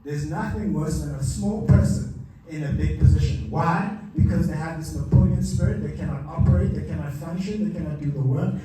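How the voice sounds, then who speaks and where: A man's voice: fairly high pitch, a little fast, few pauses, far from the mic, loud music behind the voice. A man giving a live motivational masterclass, emphatic and repeating his key lines. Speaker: motivational speaker. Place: large hall with a live audience. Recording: echoey hall mic heard from afar.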